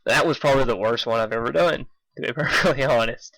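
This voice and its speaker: A man's voice: mid-range voice, fast talker, creaky, fairly bright tone, no echo, poor audio. A young man narrating a fan story, informal, stumbling over words and correcting himself, with casual asides. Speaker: amateur fan fiction reader.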